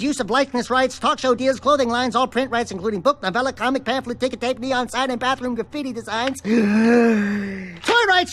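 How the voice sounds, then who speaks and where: An actor voicing a small, wisecracking animal sidekick in a cartoonish video game, brash, whiny and cocky. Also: male voice, very high voice, a bit bright. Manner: brash, complaining, exaggerated.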